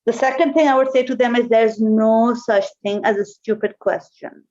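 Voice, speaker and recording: A woman whose first language is Urdu, a marketing manager answering interview questions thoughtfully, often pausing with "uh". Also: on the high side, fairly even intonation, a little mellow. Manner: thoughtful, with frequent "uh" pauses. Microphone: remote call. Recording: dull, lo-fi call audio.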